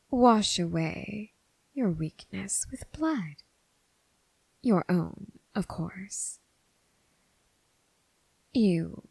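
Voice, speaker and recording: Slow, breathy demon temptress played by a woman. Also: fairly low pitch, a little mellow, no echo, heavily acted, poor audio. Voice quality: breathy, husky, with creaky fry. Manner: languid, condescending, drawn-out phrasing with sighs. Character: bored, mocking female demon toying with her summoner. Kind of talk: ASMR roleplay monologue, half-whispered.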